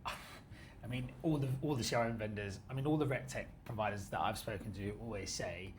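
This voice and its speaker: A man's voice: on the low side, fairly quick, a little hiss or hum, rough recording. A man hosting a podcast, interviewing in a casual, chatty way. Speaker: podcast host. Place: company office used for podcast recording.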